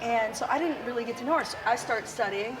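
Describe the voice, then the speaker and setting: A woman from South Dakota with a gravelly, raspy voice, chatting casually and laughing now and then as an interview guest. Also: on the high side, fast talker, background noise. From South Dakota, USA. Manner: chatty and self-deprecating, laughs often. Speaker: musician guest. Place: outdoors at a windy motorcycle expo.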